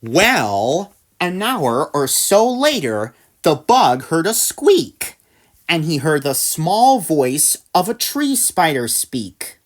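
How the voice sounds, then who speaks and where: A woman reading a rhyming children's storybook aloud in an expressive narrating style. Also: deep voice, slow. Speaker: storybook reader.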